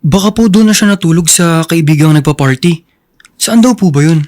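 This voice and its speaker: A man acts out a dutiful Filipino son in a radio drama. His voice is pitched fairly high for a male, with a respectful, eager-to-help manner. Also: a little fast, a little mellow, lightly acted. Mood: respectful, helpful. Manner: polite, deferential. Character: respectful, helpful son working as gas delivery boy.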